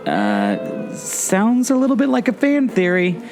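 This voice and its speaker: Animated, theatrically puzzled man. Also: high voice, measured pace. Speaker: podcast co-host, former theme park skipper. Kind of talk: scripted audio theater dialogue. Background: guitar music.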